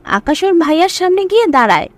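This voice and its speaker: Story narrator, female: scripted delivery, high voice, highly varied intonation. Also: big pitch swings, fast talker, no echo.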